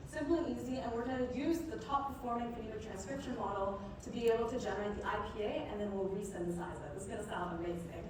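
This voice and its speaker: Female founder for a rehearsed demo pitch. Slightly high pitch, distant mic in a very echoey hall. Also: fast talker, few pauses. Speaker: startup co-founder presenting. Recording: echoey hall from far off, noisy.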